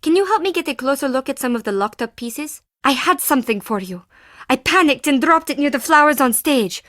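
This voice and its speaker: A woman's voice: high voice, animated, brisk, a bit bright, no echo, studio quality. An actress playing a timid, defensive woman artist and forger in a video game, with intense, theatrical delivery in clipped phrases. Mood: guarded. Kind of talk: scripted video game character dialogue.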